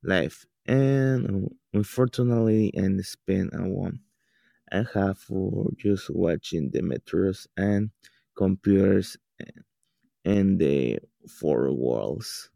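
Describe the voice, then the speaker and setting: Slow, gravelly-voiced teenage boy. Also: deep voice, warm tone, no echo. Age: teens. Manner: halting, non-native English with restarts. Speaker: student telling his story. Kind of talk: reading a personal account.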